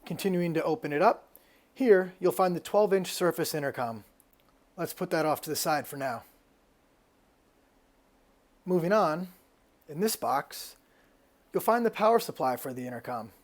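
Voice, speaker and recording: A man giving scripted instructional narration as the presenter of an installation tutorial, explaining things clearly step by step. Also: on the high side, expressive, moderate pace, long pauses.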